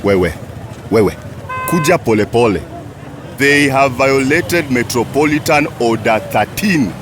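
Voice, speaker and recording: An actor playing an arrogant street police officer who talks in a bossy, mocking way. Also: male voice, mid-range voice, expressive, unhurried, noisy background, natural voice.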